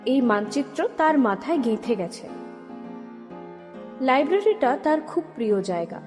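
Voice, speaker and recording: A woman's voice: high-pitched, very animated, measured pace. A woman narrator reading a scripted story for an audio drama. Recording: long reverb under music. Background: music.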